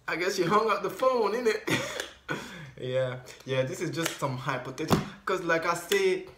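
A young man talks loosely and casually straight to his viewers. His voice sits a little high for a man. The room around him gives it a noticeable echo. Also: slight background noise. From Cameroon. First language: Bafang. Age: young adult. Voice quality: relaxed, loose, chatty delivery. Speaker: language video creator. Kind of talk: casual vlog talk to camera. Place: small room indoors. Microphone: camera at desk distance. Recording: roomy echoey room.

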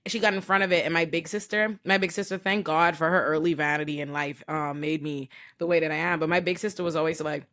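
A woman's voice: low voice, steady tone, fast, hardly any pauses, a bit bright. A young woman host tells a story candidly and emphatically into a podcast mic from a room at home. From Bahamas. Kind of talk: casual interview talk. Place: home room with plants and bookshelf. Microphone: large podcast microphone.

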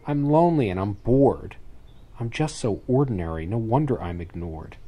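A man's voice: fairly low pitch, lively intonation, normal speed, dark tone, voice pushed up. A man voicing a lonely, earnest young cottage girl in a storybook, with plaintive, dramatic delivery. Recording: clearly noisy ambient sound effects behind.

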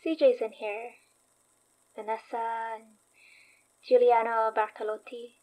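An actress in a horror audio drama playing a thoughtful, wry young woman investigator recording a diary, reflective, halting and dryly understated. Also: fairly high pitch, little pitch movement, mellow, low-quality recording. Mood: reflective, uneasy.

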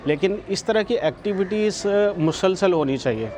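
Middle-aged man, halting interview answers. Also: high voice, animated. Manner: deliberate, with many filler pauses and English terms. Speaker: education official interviewed. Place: outdoors at a school building. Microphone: lapel.

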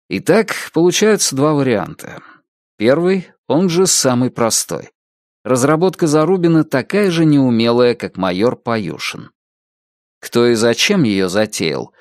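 A man reading a detective novel aloud as an audiobook narrator, with an expressive, varied delivery. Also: medium pitch, no echo, studio quality.

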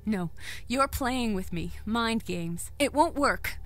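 Female, actress as desperate sci-fi captive for audio drama: high, slow, halting, pleading yet defiant. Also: expressive, faint music behind. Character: distraught, defiant captive woman, desperate to live.

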